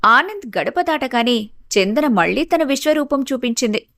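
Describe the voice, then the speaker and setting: High-voiced woman narrating a story. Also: lively intonation, normal speed, fairly bright tone, dry recording, clean studio sound. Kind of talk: scripted narration of a family story.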